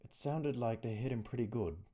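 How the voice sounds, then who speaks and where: A man acts out a worried young captive in a fantasy audiobook. He speaks urgently and fearfully, pitched well above the narrator's low register. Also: normal speed, warm tone, natural voice. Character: anxious young male prisoner, urgent and fearful. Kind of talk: audiobook character reading.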